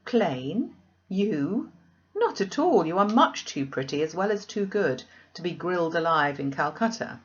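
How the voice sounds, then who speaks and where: A woman voicing an outspoken, affectionate young gentlewoman, emphatic and questioning, in a classic novel. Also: lowish voice, sing-song, measured pace, dull audio. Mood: indignant.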